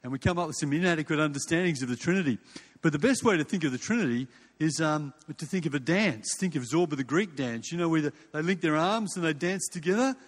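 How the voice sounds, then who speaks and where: A man's voice: fairly high pitch, expressive, fast talker. An older man with an Australian accent giving a sermon in an informal, conversational storytelling way, with rhetorical questions and repetition. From Western Australia. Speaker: preacher. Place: large hall or church. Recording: reverberant hall sound.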